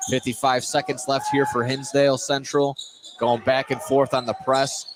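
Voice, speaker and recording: A man's voice: medium pitch, level tone, normal speed. A man doing informal live play-by-play basketball commentary, sometimes stumbling and correcting himself. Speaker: play-by-play sports announcer. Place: indoor basketball gym. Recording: noisy live gym broadcast.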